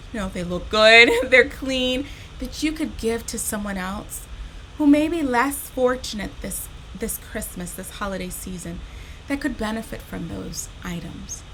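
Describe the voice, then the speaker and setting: A woman speaks as a storytime host for children, with a fairly high voice and a very unhurried pace. She is expressive and encouraging, addressing her young listeners directly. A faint noise sits behind her in the recording. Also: poor audio.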